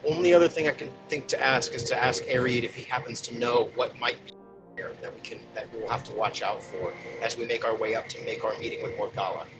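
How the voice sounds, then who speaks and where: A man's voice: mid-range voice, steady tone, fast, over loud music, rough recording. A man playing a tabletop game, chatting casually as he explains tactics and advises the others. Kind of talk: casual game strategy chat.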